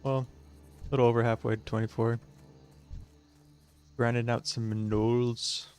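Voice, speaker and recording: A young man from the Chicago suburbs, chatting casually with his viewers while he plays a game, with easy fillers and a relaxed tone. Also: mid-range voice, measured pace, clear, resonant tone. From Chicago suburbs, USA. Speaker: gaming streamer. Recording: music playing behind the voice.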